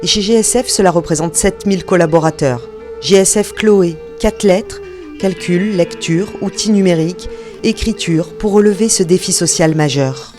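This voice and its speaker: A woman's voice: on the low side, moderate pace, darkish tone. Female narrator, earnest corporate voice-over, guitar music underneath.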